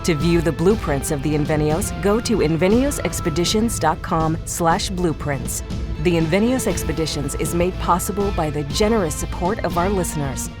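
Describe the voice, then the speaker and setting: A woman's voice: fairly low pitch, moderate pace. A woman reading scripted credits as the announcer of an audio drama. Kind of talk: scripted narration. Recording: music clearly behind the voice.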